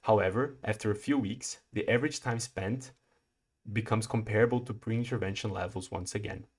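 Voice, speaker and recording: A young man from Brazil, a PhD student, giving a prepared research talk in a measured, explanatory way with occasional restarts. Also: on the low side, husky.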